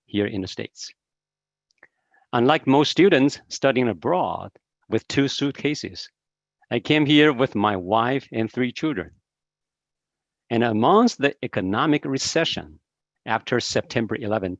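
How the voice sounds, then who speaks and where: A man's voice: mid-range voice, no echo. Earnest man from Taiwan on a video call. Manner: earnest, encouraging, with hesitant fillers. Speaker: seminar speaker. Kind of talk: online seminar talk. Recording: dry, dull video-call audio.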